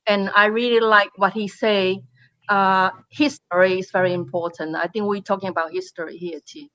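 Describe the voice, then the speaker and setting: A woman from Taiwan, a painter speaking on a panel in halting English with many fillers and restarts. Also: medium pitch, steady tone, a bit bright, dry recording, dull audio. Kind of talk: panel discussion.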